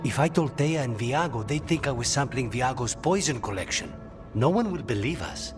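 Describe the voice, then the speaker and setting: An actor playing a pragmatic adventurer in a fantasy video game, with a sardonic, matter-of-fact manner. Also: male voice, mid-range voice, sing-song, normal speed, music in the background, natural voice. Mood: dry.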